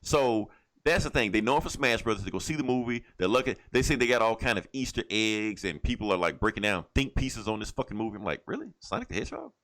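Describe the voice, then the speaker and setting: A man's voice: on the low side, sing-song, quick pace, a bit bright, rough recording. A man co-hosting a podcast, talking casually and bluntly, with plenty of swearing and an irreverent manner. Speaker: podcast co-host. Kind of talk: casual, profane movie chat.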